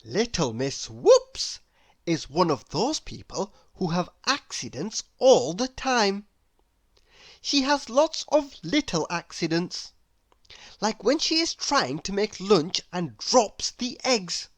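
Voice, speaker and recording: A woman narrating a children's picture book aloud in an expressive, deliberate, theatrical storytelling manner. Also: mid-range voice, no room sound. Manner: deliberate, theatrical, with playful exclamations.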